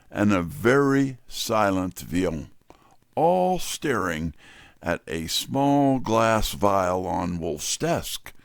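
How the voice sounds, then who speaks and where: An actor playing a wry detective's assistant, narrating a scripted mystery in the first person with measured, dry delivery. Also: male voice, fairly low pitch, gravelly, no room sound, heavily acted. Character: wry male detective's assistant telling the story.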